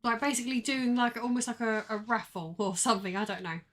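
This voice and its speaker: A woman's voice: a little high, fast. A woman chatting casually off to the side, explaining things hesitantly with a dry, matter-of-fact way. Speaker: streamer's wife. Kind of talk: casual off-camera chat.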